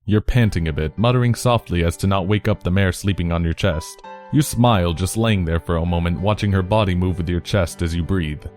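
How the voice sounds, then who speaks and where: Casting: male story narrator, low register, casual and expressive, light music underneath. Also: a little fast. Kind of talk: second-person fan fiction narration.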